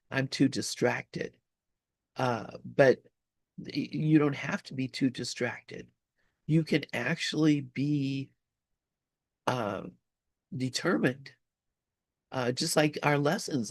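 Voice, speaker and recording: Slow, gravelly-voiced man teaching informally. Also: mid-range voice, no room sound. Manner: halting, searching for words, conversational. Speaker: spiritual study group leader.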